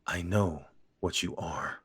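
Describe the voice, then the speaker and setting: A man voicing a gruff middle-aged father in an audio drama, his voice low and rough with a husky grain. He is stiff and clipped, uneasy with his grown son. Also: slow, a bit bright, no echo, studio quality, full character voice. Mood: defensive. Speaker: voice actor.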